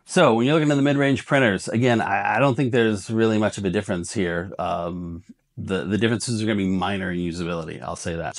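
A man in his forties hosting a review video, giving casual, blunt product advice in colloquial language, often checking in with a tag question. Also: lowish voice. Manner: blunt, colloquial, often tags points with "right?". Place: indoor workshop room with shelves of parts.

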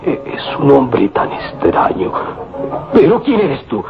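A frightened, stammering servant. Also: male voice, medium pitch, huge pitch swings, slow pace, creaky, full character voice. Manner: stammering, fearful. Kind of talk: old radio serial acting. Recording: dull old radio recording with music.

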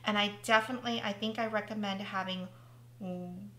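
A woman's voice: mid-range voice, steady tone, fairly quick, sharp tone, roomy sound, a little hiss or hum. A woman hosting a casual craft tutorial, thinking aloud as she works, and losing her voice. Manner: chatty, thinking aloud while working.

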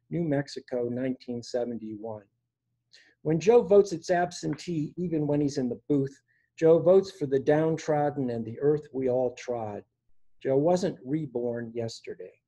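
A man in his sixties reading poetry aloud in a measured way, pausing between phrases, recorded at home on a video call. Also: on the high side, warm tone. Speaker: poet reading own work. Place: indoor room at home. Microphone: device mic on a video call.

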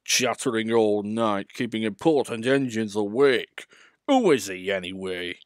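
A man voicing a pompous, boastful male express engine who grumbles slowly and haughtily. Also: fairly low pitch, no echo, studio quality, lightly acted. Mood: haughty.

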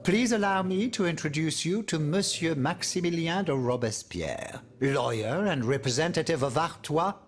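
A man voicing a courteous gentleman in a video game, with a courtly, formal way of talking. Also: a little high, normal speed, few pauses, close to an everyday voice. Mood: courteous, impressed. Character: courteous, animated gentleman, a revolutionary ally. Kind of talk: video game cutscene acting.

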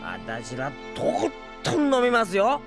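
A man's voice: high voice, wildly swooping pitch, slow pace, music in the background, full character voice. A man playing a tipsy young sorcerer in an anime audio drama, talkative and pushy, with slurred, rambling speech. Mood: boisterous, tipsy. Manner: slurred, boisterous drunken rambling.

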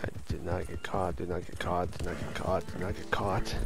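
Hoarse, gravelly man at low pitch. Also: fairly even intonation, moderate pace, loud music behind the voice. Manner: chatty, swears when things go wrong. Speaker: gaming host. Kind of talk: casual let's-play commentary.

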